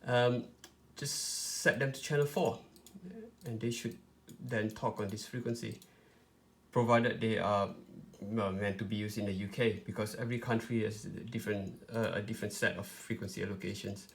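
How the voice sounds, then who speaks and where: A man presenting an informal step-by-step tutorial, explaining in a hesitant, halting way with frequent pauses. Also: on the low side, fairly even intonation, creaky. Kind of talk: informal step-by-step product demonstration.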